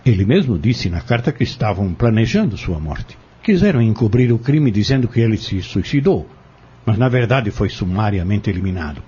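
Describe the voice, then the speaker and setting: A man's voice: on the low side, lively intonation, moderate pace, dark tone, breathy edge, lo-fi sound. An actor in an audio drama playing an insistent, scheming man who goads and persuades in formal wording, bent on exposing a corrupt family. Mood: bitter.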